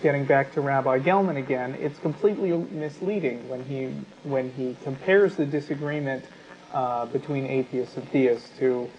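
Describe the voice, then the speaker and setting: A man co-hosting a talk show, giving unscripted commentary in a halting, self-correcting, argumentative way. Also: mid-range voice, a little mellow. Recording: dull sound with clear background noise.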